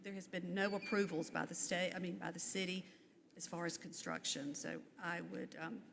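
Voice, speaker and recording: A woman's voice: on the low side, steady tone, normal speed, creaky. A woman giving semi-formal public comment as a resident, firm but polite. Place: large meeting room. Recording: echoey hall, some background noise.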